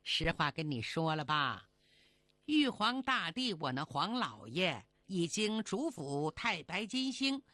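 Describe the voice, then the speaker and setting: A woman's voice: medium pitch, fairly bright tone, no echo. A woman voicing a vain, spoiled young moon goddess in a storytelling tale, coquettish and full of exclamations.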